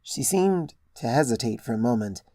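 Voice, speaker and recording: Mellow, dry-sounding story narrator. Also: mid-range voice, animated, normal speed, dry recording. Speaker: fan fiction narrator. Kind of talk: first-person scripted story narration.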